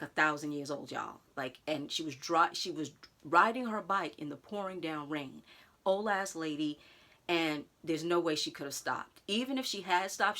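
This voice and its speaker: Lively storytelling woman, slightly low voice. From United States. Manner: animated, talks straight to viewers with "y'all", acts out others' lines. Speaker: vlogger telling a personal story. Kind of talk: casual storytime monologue.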